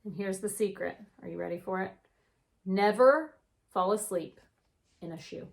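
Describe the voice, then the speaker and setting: A woman reading a picture book aloud like a teacher, with animated, drawn-out delivery and chatty asides. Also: mid-range voice, creaky. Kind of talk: expressive children's read-aloud with chatty asides.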